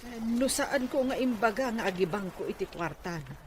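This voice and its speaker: A man's voice: high voice, lively intonation, normal speed, slight background noise. A man acting a skeptical farming villager in an Ilocano drama, speaking with an Ilocano accent. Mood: worried, grumbling. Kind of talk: amateur Ilocano radio-style drama acting.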